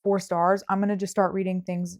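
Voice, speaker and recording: A woman's voice: mid-range voice, flat delivery, a little fast, darkish tone. Casual, hedging woman chatting about books. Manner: informal, hedging, frequent "like". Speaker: book podcast host. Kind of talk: casual book chat.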